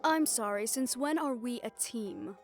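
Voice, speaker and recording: A woman's voice: a little high, expressive, unhurried, soft background music. A woman playing a mafia boss's blunt, exasperated, loyal girlfriend in an audio roleplay, swearing and sarcastic. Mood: indignant, restrained. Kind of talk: audio roleplay acting to the listener.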